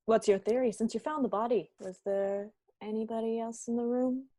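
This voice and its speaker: A woman's voice: fairly high pitch, fairly even intonation, normal speed, warm tone, no echo, studio quality, lightly acted. A woman playing a probing, sardonic police captain in an amateur radio-style mystery. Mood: wry, commanding. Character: brisk, wry police captain questioning suspects.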